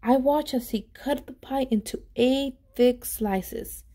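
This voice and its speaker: High-voiced woman reading a children's storybook. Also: takes it slowly. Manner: animated, with dramatic gasps and emphasis. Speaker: children's read-aloud storyteller. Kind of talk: expressive storybook reading, pausing to ask children questions.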